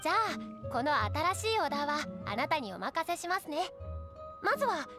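A woman's voice: sits high, very animated, brisk, music in the background. A woman playing a polite, earnest young waitress, flustered but determined, in an anime game.

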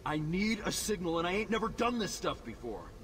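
Spooked young soldier in long reverb. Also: male voice, high-pitched, big pitch swings, brisk, fairly bright tone, a little hiss or hum. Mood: panicked. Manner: nervous, stammering. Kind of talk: video game dialogue.

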